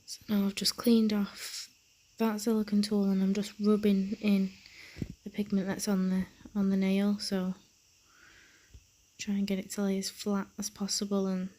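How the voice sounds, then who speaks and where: Soft-spoken croaky woman. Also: mid-range voice, completely flat delivery, unhurried, a little hiss or hum. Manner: soft, half-whispered narration. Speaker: nail technician. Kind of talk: tutorial voice-over.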